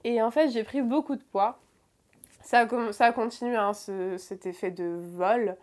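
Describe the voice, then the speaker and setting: A woman's voice: a little high, normal speed, darkish tone. A woman in her twenties telling a personal story in conversation, hesitant, with many fillers and restarts. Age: 20s. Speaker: guest.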